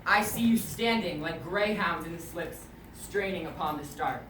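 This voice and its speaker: A man's voice: a little high, moderate pace, sharp tone. An actor playing a warrior king urging his soldiers into battle, declaiming a Shakespeare monologue in a commanding, projected delivery. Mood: rousing. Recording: distant mic, echoey, clearly noisy ambience.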